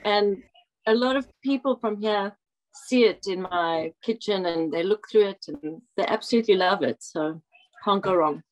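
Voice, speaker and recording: A woman's voice: mid-range voice, normal speed, lo-fi sound. A woman viewer joining a live video call, chatting in a friendly way. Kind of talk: casual chat.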